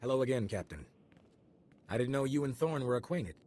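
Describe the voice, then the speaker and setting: An actor playing an officious, self-important customs agent in a video game, pompous and condescending. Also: male voice, medium pitch, animated, a little fast, warm tone, lightly acted. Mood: haughty.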